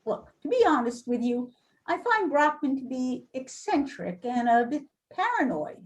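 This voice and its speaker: A woman's voice: sits high, sing-song, normal speed. A woman playing a defensive, businesslike school administrator in an amateur readers theater reading. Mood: frank, polite.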